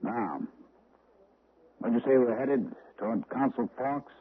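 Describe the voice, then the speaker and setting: A man's voice: medium pitch, a little slow, close to an everyday voice. An actor playing a cool, clipped gunman who claims to be a writer, in a 1970s radio drama. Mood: menacing. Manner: cool, clipped, quietly threatening. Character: menacing gunman posing as a writer, calm. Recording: lo-fi broadcast audio with faint hiss.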